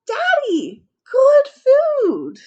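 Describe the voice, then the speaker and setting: A woman reading a storybook and voicing an excitable little girl who shouts and pleads gleefully. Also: very high voice, extremely slow, highs cut off, pitched above the performer's own voice, heavily acted. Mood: excited, loud.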